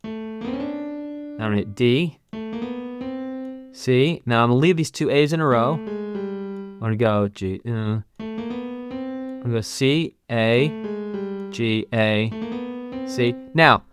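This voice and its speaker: A man teaching as a channel host, casually narrating a step-by-step music software tutorial and talking through each click. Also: medium pitch, slow, long pauses.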